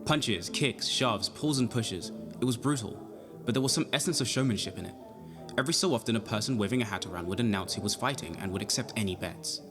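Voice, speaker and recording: Guy playing nervous young detective dude, kinda formal narrating his case, lowish voice, music behind him. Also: fairly quick, natural voice. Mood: measured, wry. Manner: hesitant, flustered in dialogue, formal when narrating. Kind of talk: audio drama acting with first-person narration.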